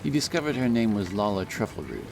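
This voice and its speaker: Deep-voiced man narrating dark fantasy. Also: big pitch swings, vocal fry. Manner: measured, solemn storytelling. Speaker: audiobook narrator. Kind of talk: scripted dramatic fantasy narration. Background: background music and sound effects.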